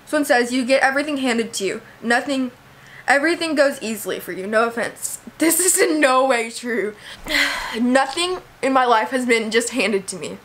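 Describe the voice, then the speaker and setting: A young woman from Texas, talking casually to her camera with lots of "like" and "you know", hoarse from a cold. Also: sits high, expressive, moderate pace, a bit bright. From Texas, USA. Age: young adult. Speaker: vlogger.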